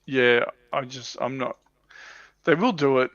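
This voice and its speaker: A man with a gravelly, creaky voice of medium pitch chats informally on a hobby livestream. The sound is dry and a little dull, and he talks like one of the panel sharing opinions. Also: measured pace, fairly bright tone, dry recording. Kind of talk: casual hobby chat.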